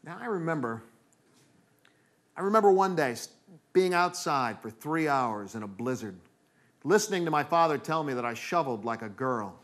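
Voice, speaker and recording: High-pitched, animated American male storyteller. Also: moderate pace. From New England, United States. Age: middle-aged. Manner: animated, dramatic delivery acting out moments. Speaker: solo stage performer. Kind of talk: theatrical comic storytelling.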